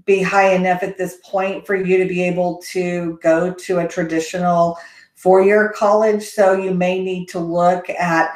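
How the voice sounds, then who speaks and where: A woman's voice: fairly low pitch, level tone, measured pace, darkish tone. Texan woman advising on college admissions. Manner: rambling, many ums and restarts, friendly. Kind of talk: casual live Q&A answering questions. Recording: echoey room.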